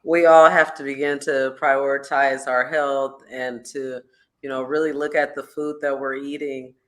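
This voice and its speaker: A man's voice: medium pitch, level tone, a little slow, a bit bright. A man hosting a casual stream interview, talking loosely and rambling, full of "you know" and "um". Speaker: stream show host.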